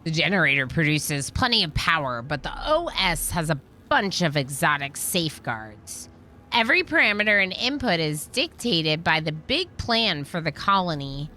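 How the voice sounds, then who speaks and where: A weary, overworked sci-fi colony engineer, read aloud by a woman, explaining with a brooding grumble. The voice is bright and forward, on the lower side, in the manner of video game dialogue. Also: sing-song, normal speed, slight background noise, heavily acted. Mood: weary, grumbling.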